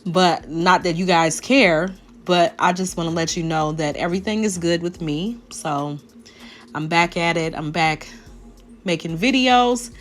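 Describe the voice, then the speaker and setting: A woman, deep and a little raspy after a sinus infection, chatting through a nail tutorial voice-over and talking straight to her viewers. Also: a little slow. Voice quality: naturally deep voice. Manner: chatty, rambling, addresses viewers directly. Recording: faint background music.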